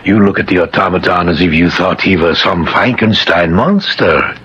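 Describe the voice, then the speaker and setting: A man's voice: low voice, expressive, moderate pace, a bit bright, breathy edge, full character voice. An actor playing a proud, obsessive older scientist and father, declaiming grandly in a 1950s radio drama. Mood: proud, intent. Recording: muffled, noisy 1950s radio recording.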